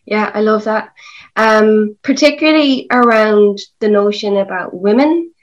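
A woman's voice: medium pitch, measured pace, lo-fi sound. Encouraging Irish woman interviewer. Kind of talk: conversational interview questions.

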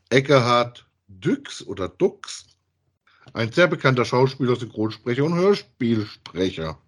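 A man co-hosting a podcast, speaking in a casual, conversational style. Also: mid-range voice. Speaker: podcast co-host. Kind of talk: casual chat about audio drama voice actors.

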